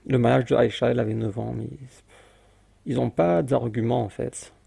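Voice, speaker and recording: A man's voice: mid-range voice, fairly even intonation, moderate pace, gravelly, dark tone, slightly rough, dry recording. A man commenting on a video he plays, dismissive and mocking, often cutting in over the clip. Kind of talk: casual reaction commentary.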